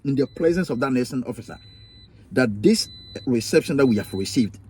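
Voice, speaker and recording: A man's voice: medium pitch, lively intonation, a little fast, darkish tone, a little hiss or hum. A young man with a Ghanaian accent telling a personal story in a vlog, repeating himself emphatically. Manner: emphatic, repetitive, preachy storytelling. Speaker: vlogger.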